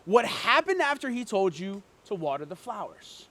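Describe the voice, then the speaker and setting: Casting: male actor for a flippant, needling twin brother in an audio drama, unfazed by trouble, high-voiced and animated. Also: moderate pace, full character voice. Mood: insistent, needling. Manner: teasing, flippant. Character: cocky, joking twin brother, unfazed by jail. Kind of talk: amateur dramatic script reading.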